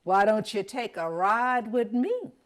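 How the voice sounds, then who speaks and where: Woman doing a sly, oily buzzard gentleman. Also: medium pitch, expressive, unhurried, close to an everyday voice. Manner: smooth, courtly and sneaky. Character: sly predatory male buzzard, falsely polite.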